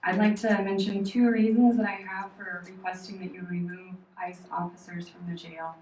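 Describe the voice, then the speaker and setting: A woman from Oklahoma giving a prepared public comment at a meeting, earnest, measured and firm. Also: mid-range voice, little pitch movement, a little mellow. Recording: echoey hall, distant mic, faint noise.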